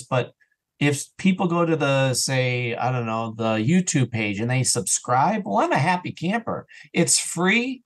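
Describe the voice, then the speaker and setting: A man chatting casually as an interview guest, talking in an earnest, animated way about his creative work. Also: mid-range voice. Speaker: interview guest, audio drama creator and podcaster.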